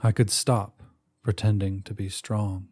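A man narrating a first-person short story in a measured, expressive way with dramatic pauses. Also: fairly low pitch, husky. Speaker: audiobook narrator.

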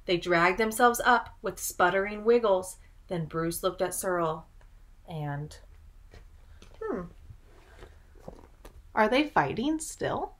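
A young woman reading a picture book aloud to children in an expressive, dramatic way, pausing to ask the listener questions. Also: medium pitch. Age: young adult. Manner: dramatic, drawn-out delivery with gasps and prompting questions. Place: small room at home, indoors.

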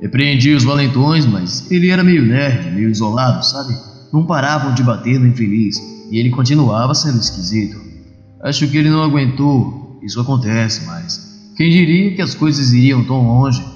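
A man's voice: medium pitch, expressive, moderate pace, darkish tone, reverberant, in a large hall, muffled recording, soft background music, close to an everyday voice. A man playing a resigned, matter-of-fact male schoolteacher in a comic-book dub.

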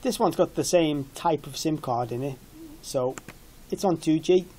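Higher-voiced man, mellow, explaining tech. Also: measured pace, husky. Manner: explanatory, matter-of-fact. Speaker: product demonstrator. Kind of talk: informal screen-share tech walkthrough.

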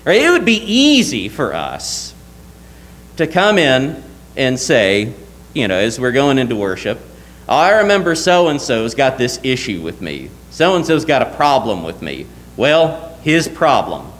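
A man's voice: mid-range voice, huge pitch swings, measured pace, fairly bright tone, low-quality recording. A young man preaching a sermon from a church pulpit, earnest and emphatic, often asking rhetorical questions. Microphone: lectern mic, wide camera.

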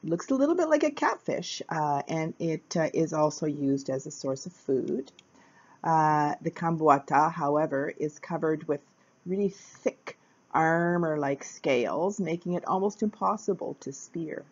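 A woman narrating a short capoeira lesson video in a casual, halting way, with frequent uh fillers between phrases. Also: low-pitched, animated, a little mellow, lo-fi sound. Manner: hesitant, many uh fillers, plain. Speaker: capoeira teacher.

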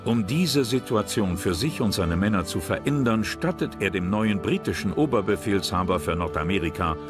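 A man's voice: lowish voice, expressive, normal speed. A man reading scripted narration for a history documentary. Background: background music.

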